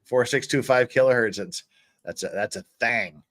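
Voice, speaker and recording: A man's voice: mid-range voice, moderate pace, fairly bright tone. A man hosting a livestream with casual, joking, teasing banter. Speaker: livestream host.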